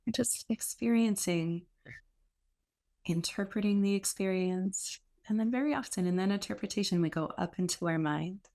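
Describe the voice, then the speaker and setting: A woman leading a guided meditation as a teacher, speaking in an unhurried, gently instructive way with pauses. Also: mid-range voice.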